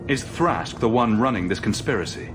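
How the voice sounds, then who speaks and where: A man's voice: lowish voice, animated, normal speed, lightly acted. A man playing a bold, blunt heroic champion in a video game drama, with a direct way of talking. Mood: indignant, challenging. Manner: direct, questioning. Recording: loud music behind the voice.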